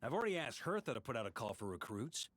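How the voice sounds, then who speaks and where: A man's voice: medium pitch, very animated, fast, a bit bright, dry recording. A man voicing an easygoing, confident knight captain in a fantasy video game, casual and reassuring. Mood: breezy, reassuring. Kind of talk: video game character acting.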